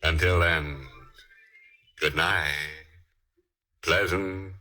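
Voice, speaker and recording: A man hosting a 1940s radio mystery with wry, theatrical, mock-sympathetic dark humor. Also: deep voice, fairly even intonation, drawn-out words. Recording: old radio recording with organ music.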